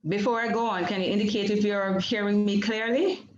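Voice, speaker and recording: A woman's voice: mid-range voice, steady tone, roomy sound, highs cut off. Jamaican woman presenting an academic seminar. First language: Jamaican Creole. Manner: measured and explanatory, with frequent fillers and self-corrections. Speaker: PhD candidate presenting research. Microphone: video call.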